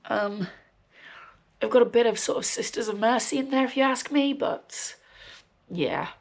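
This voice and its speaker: Brummie-ish young woman rambling on her podcast, croaky voice, bit nasal from a cold, lots of ums. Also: fairly high pitch, measured pace. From West Midlands, England. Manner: chatty, frequent ums, dry swearing asides. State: recovering from a cold, slightly nasal and wheezy. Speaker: podcast host. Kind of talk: casual rambling chat.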